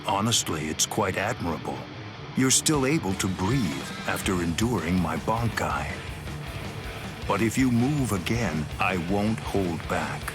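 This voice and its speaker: A man's voice: deep voice, expressive, a little slow. An actor voicing a haughty, merciless aristocratic swordsman captain in an anime game, speaking in a stately, formal manner. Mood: cold, composed. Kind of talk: dramatic anime game voice acting. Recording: loud music behind.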